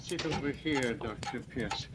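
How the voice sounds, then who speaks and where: A man's voice: mid-range voice, a little slow, close to an everyday voice. An actor playing a sick, elderly male country pastor who is fretful and hesitant, in 1940s radio acting. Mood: anxious. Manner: fretful, hesitant, then alarmed. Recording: old noisy radio broadcast.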